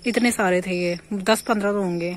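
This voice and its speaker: A woman's voice: mid-range voice, a little fast, a little hiss or hum. A young woman vlogging casually outdoors on a phone, chatting to the camera about what she is doing. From India. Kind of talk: casual vlog chat. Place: outdoors at home and in a field.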